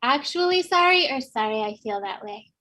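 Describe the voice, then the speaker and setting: A woman's voice: high-pitched, expressive, moderate pace, bright, forceful tone, full character voice. A woman playing an exasperated, sarcastic co-op worker in a comedy table read, pointed and confrontational.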